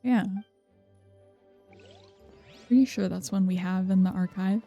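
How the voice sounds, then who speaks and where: A woman's voice: mid-range voice, unhurried, warm tone. A woman giving casual game playthrough commentary, muttering offhand reactions to herself. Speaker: game streamer. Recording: game music behind the voice.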